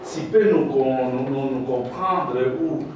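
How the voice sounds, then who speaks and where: A man's voice: mid-range voice, slow pace, darkish tone, lo-fi sound. A man arguing a point off the cuff in a debate, insistent, questioning and repeating himself. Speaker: audience participant in a debate. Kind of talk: impromptu debate contribution. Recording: very echoey room, distant mic, clear noise.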